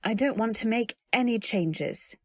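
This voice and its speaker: A woman's voice: on the high side, measured pace, dry recording. Radio drama heroine, played by a woman: timid young wife, earnest and well-mannered, intimate dry recording. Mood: apologetic, earnest. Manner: hesitant, gentle, polite. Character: timid, earnest young new wife narrating her tale. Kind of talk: old-time radio drama, first-person narration and dialogue.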